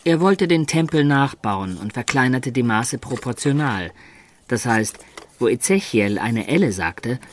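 A man's voice: mid-range voice, lively intonation, measured pace, slight background noise, natural voice. An actor playing a wry medieval adventurer who recounts his youthful exploits in a radio drama. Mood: amused recounting. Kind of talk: narrating storyteller in German radio drama.

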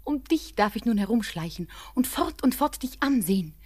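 Classic radio drama: high-pitched young beloved, anxious and fervent, poetic stage diction. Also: female voice, animated, normal speed, a little mellow. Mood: tender, anxious. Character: young woman in love, anxious, devoted, pleading.

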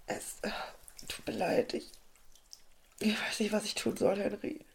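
A young actress playing a troubled, apologetic young woman in a naturalistic audio drama, speaking in a hesitant, halting way. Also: mid-range voice, fairly even intonation, gravelly, slightly rough, exaggerated voice. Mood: anxious, hesitant. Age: young adult. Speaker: lead actress and narrator.

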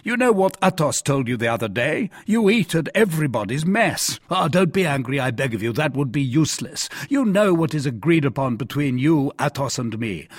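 A man voicing a boastful, self-satisfied musketeer who blusters in loud, theatrical exclamations in an audiobook adventure. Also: fairly high pitch, big pitch swings, normal speed, hardly any pauses, husky, no room sound, clean studio sound. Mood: scolding.

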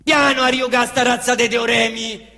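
A brash young killer from the Roman outskirts, talking in swaggering slang with a thick Roman accent. His voice sits high and flips from defiant bursts to low mutters. A long theatre reverb trails behind every phrase. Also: little pitch movement, moderate pace, bright, forceful tone, exaggerated voice. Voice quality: slangy, swaggering street talk. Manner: swings between defiant outbursts and low muttering. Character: young male Roman slum youth, cocky killer. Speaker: stage actor in a monologue. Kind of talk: theatrical monologue. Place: theatre stage.

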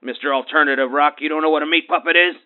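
A man's voice: fairly high pitch, steady tone, quick pace, phone-quality sound, close to an everyday voice. A man playing a ranting bigoted caller. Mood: threatening. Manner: ranting, threatening, pompous. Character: bigoted blustering middle-aged male radio caller.

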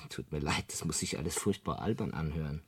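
Hapless, husky-voiced ordinary Earthman. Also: very deep voice, heavily acted. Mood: sheepish. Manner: rueful, apologetic, self-pitying. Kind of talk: radio drama acting.

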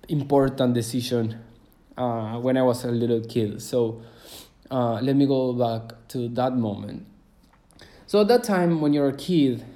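A young man in his twenties hosts his own podcast in a loose, unscripted monologue, speaking English. His voice is mellow and warm, at an ordinary middle pitch, and he wanders through his thoughts with frequent pauses and false starts. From Argentina. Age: 20s. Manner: hesitant and rambling, full of fillers and restarts. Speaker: podcast host. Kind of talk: casual solo podcast monologue.

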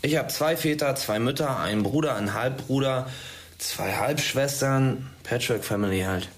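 A young man playing an excitable, questioning teenage schoolboy in a naturalistic German radio drama. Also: mid-range voice, moderate pace, a little hiss or hum, lightly acted. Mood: defensive, casual. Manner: colloquial, probing, questioning. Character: excitable, inquisitive teenage schoolboy sheltering from an attack.

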